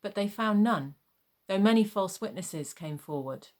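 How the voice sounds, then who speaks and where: A woman narrating a dramatised Bible reading in a measured, formal storytelling manner. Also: mid-range voice, lively intonation, a little mellow.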